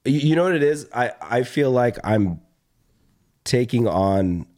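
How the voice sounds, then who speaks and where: A young man with a slightly hoarse voice chatting casually as a podcast host, rambling and halting, with some casual swearing. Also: medium pitch, animated, darkish tone. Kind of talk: casual chat.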